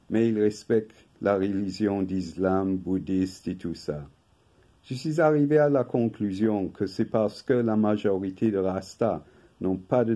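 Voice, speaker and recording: An older man, native English speaker from England, reading a prepared talk from paper in a slow, measured way at home. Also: fairly low pitch, mellow. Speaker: video host. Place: home room with bookshelf.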